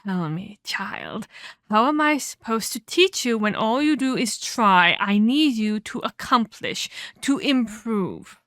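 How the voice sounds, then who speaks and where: Cruel ancient female vampire, played by a woman, for ASMR drama: very slow, close and dry, condescending menace. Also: fairly high pitch, expressive, a bit bright, no echo, heavily acted. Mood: stern, scolding. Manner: menacing, condescending, deliberate theatrical delivery with whispered emphasis. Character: cruel, domineering ancient female vampire scolding her captive pupil. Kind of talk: dramatic ASMR roleplay acting.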